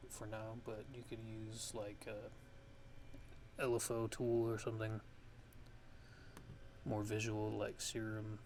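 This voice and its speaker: A man's voice: fairly low pitch, monotone, takes it slowly, long pauses, a little mellow, a little hiss or hum. A man giving a casual ASMR-style music production tutorial, thinking aloud in a hesitant, rambling way.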